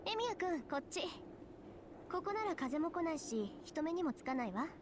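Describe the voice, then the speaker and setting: A woman's voice: very high voice, sing-song, fast talker, noisy background. A woman voicing a proud, bossy teenage schoolgirl in a visual novel, brusque and easily flustered as she scolds. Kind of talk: voiced visual novel dialogue.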